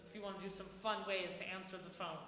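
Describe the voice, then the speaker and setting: Female radio host, casual and playful, bright tone, reverberant room. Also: mid-range voice, fairly even intonation, fast. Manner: informal, playful. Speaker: host, improv teacher. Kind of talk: casual interview, prompting guests. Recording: echoey hall, some background noise.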